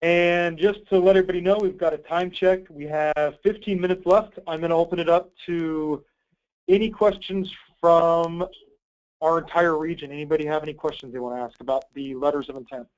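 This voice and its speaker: A man's voice: fairly high pitch, steady tone, brisk. A man hosting a conference call in an informal, obliging way, explaining things and taking questions over a muffled line. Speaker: host, grant program coordinator.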